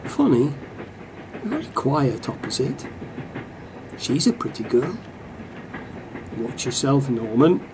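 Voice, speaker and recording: A man's voice: big pitch swings, slow, long pauses. A man playing a wry, grumbling football excursion passenger in a radio play, musing to himself. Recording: train rumble behind the voice.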